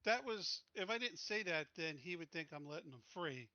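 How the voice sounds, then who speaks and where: A man's voice: fairly high pitch, lively intonation, normal speed, sharp tone, dry recording, lo-fi sound. A man chatting casually as a player in a tabletop roleplaying game.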